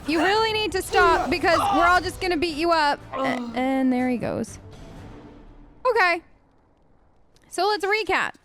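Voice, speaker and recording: A woman with a high voice gives casual let's play commentary. She talks in a chatty, playfully skeptical way, improvising dialogue as her game character. The sound is close and dry. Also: a bit bright, dry recording. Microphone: close, dry microphone.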